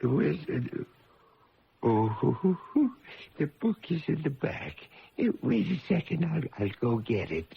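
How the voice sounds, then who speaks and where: A fussy, unctuous antique dealer in a radio play. His highish, creaky voice swoops up and down in slow, singsong courtesy. The recording is muffled, with music behind. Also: big pitch swings, noisy background, full character voice. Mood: fussy, flustered. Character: fussy, obsequious male silver shop dealer. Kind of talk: 1970s radio drama acting.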